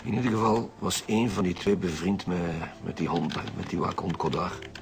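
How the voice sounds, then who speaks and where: Low male voice, Flemish accent, determined police detective, radio drama, music behind. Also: a little fast, gravelly. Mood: businesslike.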